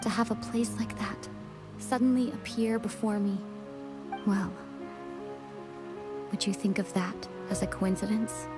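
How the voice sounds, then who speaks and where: A woman playing a solemn, weary young traveler in a video game drama, speaking in a measured, wistful way. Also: medium pitch, fairly even intonation, lightly acted. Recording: music behind the voice.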